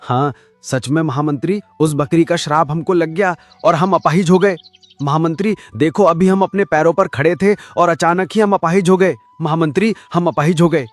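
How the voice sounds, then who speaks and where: A man's voice: fairly high pitch, normal speed, no room sound, lightly acted. A man voicing a proud donkey king. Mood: despairing. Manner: dramatic, exclaiming. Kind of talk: cartoon voice acting.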